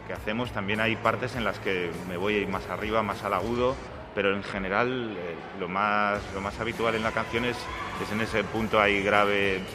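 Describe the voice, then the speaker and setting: A man with a deep voice, the singer of a band, talking in a relaxed interview. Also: moderate pace, few pauses, music in the background. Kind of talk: casual interview.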